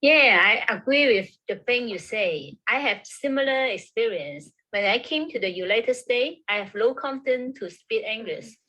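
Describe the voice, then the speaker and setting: A woman's voice: fairly high pitch, lively intonation, moderate pace, few pauses, bright, forceful tone, poor audio. A young woman student interviewing a friend for a class recording. She asks questions politely in simple learner's English.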